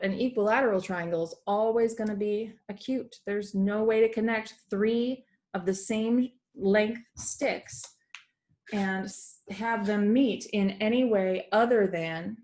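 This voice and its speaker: A woman's voice: mid-range voice, darkish tone. A woman teacher giving an explanatory read-aloud lesson for children, recorded at a computer in a room at home.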